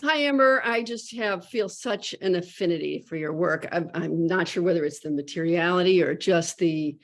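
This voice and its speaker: A woman's voice: lowish voice, moderate pace. A woman joining an online artist talk to ask a question, hesitant and searching for her words. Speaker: audience participant. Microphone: video call.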